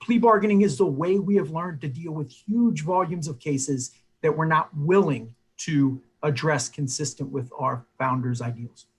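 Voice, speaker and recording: A man giving a measured, formal explanation as a panelist. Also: fairly high pitch, slightly rough.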